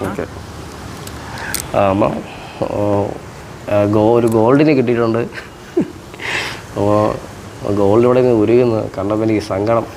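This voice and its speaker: A man's voice: fairly low pitch, steady tone, measured pace, long pauses, mellow, a little hiss or hum, poor audio. A man chatting casually and playfully as a prank host, teasing and repeating his questions insistently.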